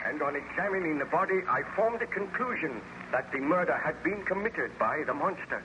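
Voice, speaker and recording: An actor playing a guilt-ridden, tormented baron with a grave, formal, melodramatic manner in an old-time radio serial. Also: male voice, fairly high pitch, close to an everyday voice. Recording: dull, noisy old radio recording.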